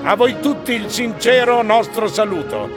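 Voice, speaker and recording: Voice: mid-range voice, expressive, normal speed, natural voice. A performer playing a solemn herald, reading a formal, declamatory proclamation for a theatrical radio piece. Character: solemn herald delivering a mock-official proclamation to Romans. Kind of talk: scripted theatrical narration in Italian radio drama. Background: music.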